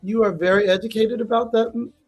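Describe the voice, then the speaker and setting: A woman's voice: a little high, steady tone, fast talker, darkish tone, husky, soft background music. A woman singer, a guest in an interview, talking chattily about her career and laughing easily. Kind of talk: casual interview talk.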